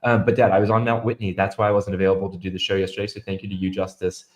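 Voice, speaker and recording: A young man with a somewhat low voice that sounds stuffed up and nasal. He co-hosts a casual live stream in an upbeat way and reads viewer comments out to his co-host. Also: level tone, racing. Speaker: podcast co-host.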